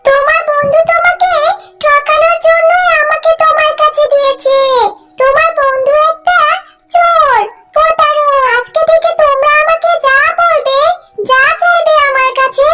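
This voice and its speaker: Piercingly high, indignant magic talking house. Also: female voice, fairly even intonation, normal speed, bright, forceful tone, soft background music. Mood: indignant, solemn. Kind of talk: cartoon voice acting.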